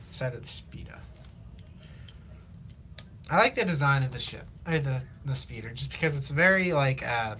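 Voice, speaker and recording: A teenage man from Illinois, chatting casually on a live stream while he builds, rambling with lots of fillers, his voice slightly raspy from long talking. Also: medium pitch, bright, forceful tone, slight background noise. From Illinois, USA. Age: teens. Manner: rambling, halting, many fillers and restarts. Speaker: livestreamer.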